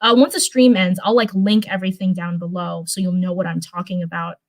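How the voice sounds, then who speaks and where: A woman's voice: on the low side, little pitch movement, brisk. A woman reading fan fiction on a live stream in an informal way, with chatty asides.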